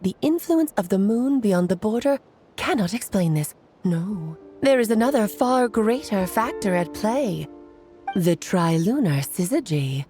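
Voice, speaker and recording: A woman's voice: medium pitch, lively intonation, a little slow, mellow, faint music behind, close to an everyday voice. A woman voicing a playful, eccentric, theatrical adult witch in a video game, with a whimsical manner. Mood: explaining.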